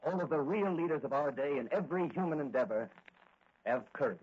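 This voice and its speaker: A man's voice: fairly high pitch, lively intonation, fairly quick, slightly rough. An actor playing a confident, hearty young-hero patrolman in an earnest 1940s radio acting style. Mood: earnest, solemn. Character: confident, cheerful heroic male patrolman crime-fighter. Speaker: lead actor in radio serial. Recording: muffled lo-fi vintage radio recording.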